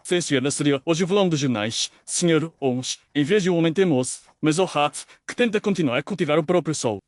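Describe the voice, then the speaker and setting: A man acts as a stubborn, humbled country landowner in an audio mystery. His voice sits a bit high, earnest and formal, recorded dry. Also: a little fast, no echo, natural voice. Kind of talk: dramatised audio mystery story.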